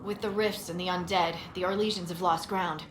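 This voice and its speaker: A woman's voice: fairly low pitch, steady tone, fairly quick, natural voice. A woman playing a wary, businesslike military scout in a fantasy game, briefing in a matter-of-fact tone. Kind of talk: scripted game dialogue. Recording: echoey, clearly noisy game capture.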